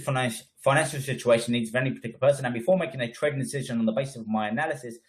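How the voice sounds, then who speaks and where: A man's voice: mid-range voice, rough recording. Stuffy-nosed man teaching trading. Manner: emphatic, frequent "right?" tags. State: congested with a cold. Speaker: trading educator. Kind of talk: webinar presentation.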